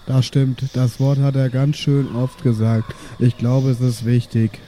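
A man's voice: medium pitch, level tone, measured pace, mellow, a little hiss or hum. A man improvising dry, grudging in-character remarks as a player in a tabletop game. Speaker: role-player playing a dwarf brother.

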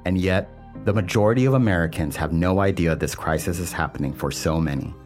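A man hosting a documentary podcast, reading scripted narration in a measured, earnest way. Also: low voice, a little mellow, soft background music. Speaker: podcast host.

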